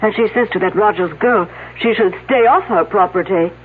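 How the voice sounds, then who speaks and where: A woman's voice: medium pitch, moderate pace, breathy edge, close to an everyday voice. An actress playing a curt, gossiping young female student in a 1970s radio drama. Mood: catty. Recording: tinny old radio broadcast.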